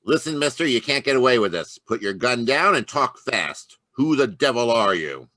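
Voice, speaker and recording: A man's voice: medium pitch, sing-song, moderate pace, bright, forceful tone, no room sound. A man playing a brusque, tough police inspector in a radio drama read live over a video call. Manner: brusque, barking. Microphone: home microphone on a video call.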